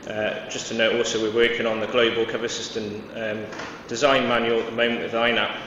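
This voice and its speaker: A man's voice: fairly low pitch, little pitch movement, fairly quick, a bit bright, far from the mic. A man from Wales giving a conference presentation, hesitant, with many fillers and restarts. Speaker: conference speaker. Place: large conference hall. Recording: echoey hall, dull sound, noisy.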